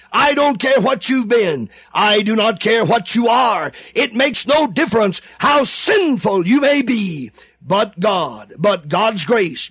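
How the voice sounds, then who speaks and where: Fiery male radio preacher, high-pitched and animated, hammering phrases with repetition. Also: breathy edge, no echo. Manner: impassioned, rising to shouts then dropping low; drawn-out emphatic repetition. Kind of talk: fervent radio sermon.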